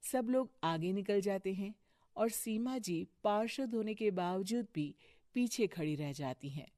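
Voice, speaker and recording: Woman, cartoon story narrator, slow pace, dry close sound. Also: medium pitch, expressive, mellow, no room sound.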